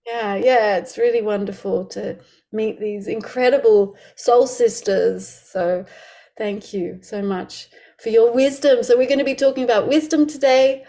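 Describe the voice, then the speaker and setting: Higher-voiced woman hosting a podcast. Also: fairly even intonation, unhurried, warm tone. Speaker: podcast host. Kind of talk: podcast intro and casual interviewing.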